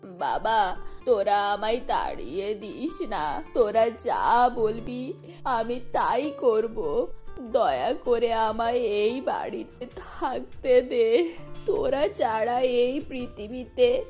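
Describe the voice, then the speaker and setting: A woman acts out a frail elderly mother from a cartoon story. She pleads meekly and sorrowfully, drawing each phrase out slowly in a lowered, plaintive voice, over faint music. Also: expressive, darkish tone. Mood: tearful pleading. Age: older. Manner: slow, drawn-out, plaintive delivery.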